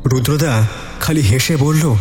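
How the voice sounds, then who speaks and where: A man's voice: medium pitch, unhurried, a little mellow. A man narrates a suspense detective story in the first person, in a dramatic storytelling style. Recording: echoey long reverb, music underneath. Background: suspense music.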